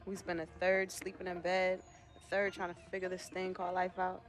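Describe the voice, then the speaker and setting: Actress as a slangy, low-voiced young American sister. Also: female voice, completely flat delivery, fairly quick, lightly acted. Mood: reflective. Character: playful, teasing young woman, loyal sister and daughter. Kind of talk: naturalistic game cutscene dialogue.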